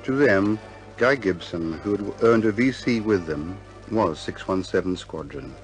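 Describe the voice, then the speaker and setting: A man's voice: sits low, unhurried. A man narrating a 1950s war film in a solemn, scripted style. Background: faint music.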